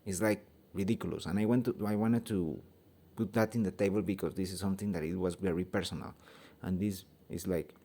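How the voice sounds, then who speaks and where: Mexican-accented man with a warm, low voice. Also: steady tone, fairly quick, hardly any pauses. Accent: Mexican Spanish. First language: Spanish. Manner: hesitant, many fillers and restarts, grows heated. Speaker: vlogger giving an opinion. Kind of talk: casual opinion monologue. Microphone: phone held by hand.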